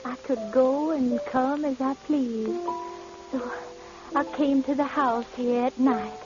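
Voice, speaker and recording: An actress playing a frail, lovelorn old woman in a vintage radio drama, speaking in a halting, dreamy way. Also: high voice, steady tone. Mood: wistful. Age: older. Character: frail, wistful, lovelorn old woman waiting for lost love. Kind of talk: 1940s radio drama acting. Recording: dull old radio recording, music behind.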